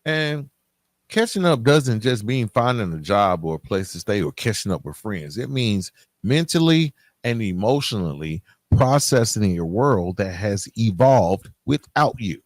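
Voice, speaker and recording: A man's voice: lowish voice, big pitch swings, measured pace, no echo, studio quality. A middle-aged man hosting a podcast, talking straight to listeners in a reflective, emphatic monologue, often checking in as he goes. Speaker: podcast host.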